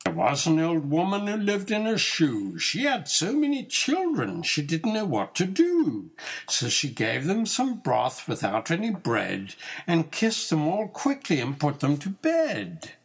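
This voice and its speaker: A man's voice: a little high, huge pitch swings, a little slow, breathy edge. An older man, a grandfather, reciting nursery rhymes expressively in a rhythmic, sing-song way for a small child.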